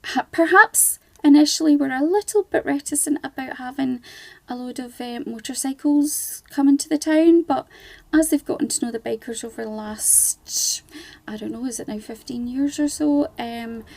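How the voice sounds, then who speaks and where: Chatty woman with a gravelly edge. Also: high voice, normal speed, darkish tone, no echo. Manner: chatty, many ums, laughs easily. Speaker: charity ambassador, guest. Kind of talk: casual radio interview.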